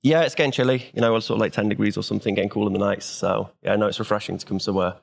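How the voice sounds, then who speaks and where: A man's voice: fairly low pitch, steady tone, rapid-fire, gravelly. A man from Wales chatting casually as an interview guest. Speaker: podcast guest, Bitcoin developer.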